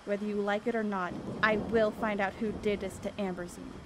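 A woman's voice: medium pitch, level tone, fairly quick, close to an everyday voice. A woman playing a determined young woman seeking the truth in ancient Greece, speaking boldly and earnestly in an audio drama. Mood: defiant. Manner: bold, earnest, declamatory. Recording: faint background noise.

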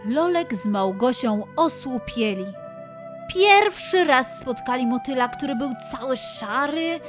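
A woman's voice: high voice, animated, unhurried, music in the background. A woman narrating a scripted story for children as its storyteller.

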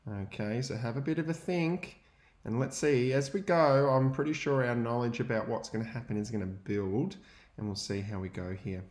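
A young adult male teacher, reading a picture book aloud to young students and pausing to ask them questions. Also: mid-range voice, roomy sound. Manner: gentle, expressive storytelling with pauses to ask questions.

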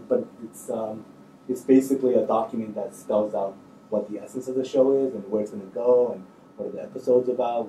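A man's voice: on the low side, mellow. A man in his thirties speaking casually and hesitantly as he answers as a screenwriter on a panel, thinking aloud in informal language. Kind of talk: casual spoken answers at a public talk. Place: indoor stage in an auditorium, seated. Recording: roomy, distant miking, faint noise.

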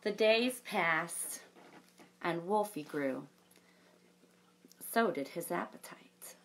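A woman in her thirties reading a picture book aloud to children, expressive, with chatty asides to young listeners. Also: lowish voice, slow, a little hiss or hum, poor audio. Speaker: library story time presenter.

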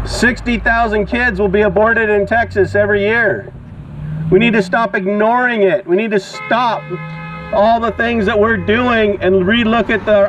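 High-pitched man preaching through a megaphone. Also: fairly bright tone. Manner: exhorting, declamatory. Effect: megaphone amplification. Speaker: street preacher and activist. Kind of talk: open-air protest preaching through a megaphone. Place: outdoors on grass beside a sidewalk and parking lot. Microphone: action camera beside the megaphone. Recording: dull outdoor recording with traffic noise.

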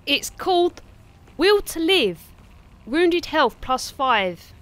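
A woman's voice: high-pitched, big pitch swings, takes it slowly, fairly bright tone. A woman playing a co-op video game and chatting casually with her teammates. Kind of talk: casual gaming chat.

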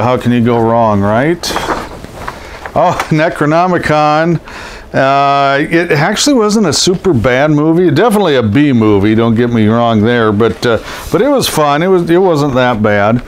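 A man's voice: mid-range voice, lively intonation, normal speed. Middle-aged man, scratchy and congested from a cold, loose chatty delivery with filler words. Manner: rambling, lots of ums and uhs. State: stuffed up from a head cold. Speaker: collectibles seller. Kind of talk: casual show-and-tell narration. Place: indoors over boxes of posters.

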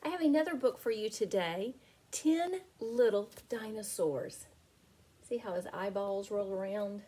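Middle-aged female teacher for picture book read-aloud: mellow, slightly husky, sing-song delivery. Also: medium pitch, expressive, measured pace. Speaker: teacher reading aloud to children. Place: small home room with window blinds.

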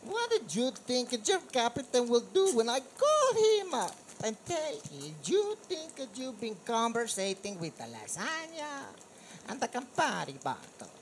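A woman's voice: fairly high pitch, wildly swooping pitch, unhurried, a little hiss or hum, poor audio. A woman playing a taunting, cackling talking bottle, a mobster's sidekick, in a comic audio drama. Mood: threatening.

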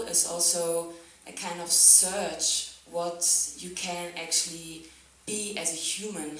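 A woman's voice: low voice, fairly bright tone, a little hiss or hum. A young woman from Germany, a non-native English speaker, talking thoughtfully about her art on stage at a festival, with lots of "like" and "um" fillers. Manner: thoughtful, many "like" and "um" fillers, laughs often. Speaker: festival artist guest. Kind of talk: artist's talk, casual reflection. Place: theatre stage on a sofa before an audience. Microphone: handheld mic. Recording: very echoey hall, speaker far from the camera.

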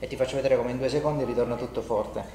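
A man's voice: medium pitch, fairly even intonation, racing, warm tone. A middle-aged man working as a chiropractor, explaining and instructing a patient in an informal, reassuring way with frequent check-in questions. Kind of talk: explaining and instructing a patient during a treatment session. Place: indoor treatment room in a home-like studio. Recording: echoey room, some background noise.

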